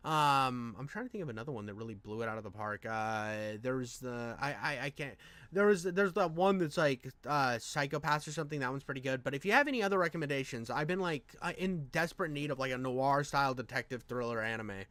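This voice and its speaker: A man's voice: mid-range voice, a little fast, fairly bright tone, dry recording. A man doing casual off-the-cuff streamer commentary, rambling and slangy, often repeating his words. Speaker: streamer reacting to a video.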